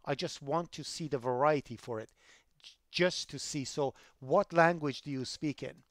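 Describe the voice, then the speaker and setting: A man's voice: a little high, normal speed, no echo, clean studio sound. Emphatic male instructor. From Iran. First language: Persian. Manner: emphatic, rises loud when making a point. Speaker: college programming instructor. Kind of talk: online lecture.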